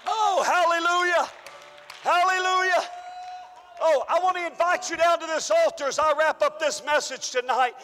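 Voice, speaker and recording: A pastor preaching a fervent sermon, calling out and shouting to his church, and losing his voice. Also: very high voice, moderate pace, long reverb tail, a faint crowd. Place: church hall.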